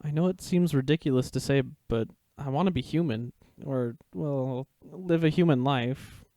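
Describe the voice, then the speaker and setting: A man's voice: mid-range voice, normal speed, warm tone, no room sound, close to an everyday voice. A man playing a shy, polite half-ghoul boy held prisoner, hesitant and apologetic, in an anime fan fiction drama. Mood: earnest, wistful.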